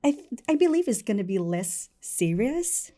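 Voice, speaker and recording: A woman's voice: a little high, sing-song, normal speed, mellow. A woman, hosting a casual talk show interview in an informal, giggly manner. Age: 20s. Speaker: host.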